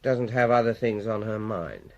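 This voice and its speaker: An actor playing a British police inspector in a radio drama, questioning people in an understated, dryly witty way. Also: male voice, on the low side, moderate pace, highs cut off, natural voice. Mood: dry, probing. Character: dry, probing male police inspector on a murder case.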